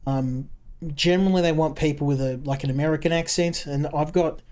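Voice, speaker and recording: A man's voice: a little high, fairly even intonation, fairly quick, a little mellow. An Australian man with a broad accent giving casual gameplay commentary as a streamer, rambling and repeating words while he thinks aloud. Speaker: game streamer.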